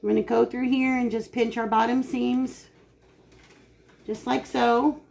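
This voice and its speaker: A woman hosts a relaxed home cooking demonstration from her kitchen. Her voice is raspy and gravelly from a dry throat, set a touch high. She chats easily as she explains each step. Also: a little slow, slight background noise. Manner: chatty, explains steps while working. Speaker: cooking channel host.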